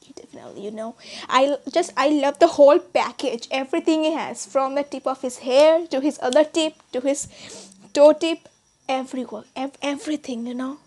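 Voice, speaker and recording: A woman's voice: high voice, a little fast, a little mellow. A teenage girl from India with an Indian accent, chatting casually to her subscribers, rambling with many restarts and fillers. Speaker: YouTuber. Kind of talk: casual vlog chat. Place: small bedroom, indoors.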